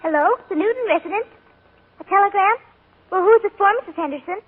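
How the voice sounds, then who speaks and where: A woman's voice: very high voice, quick pace. An actress voicing a prim, polite young girl in a 1940s radio play. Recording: tinny 1940s radio broadcast.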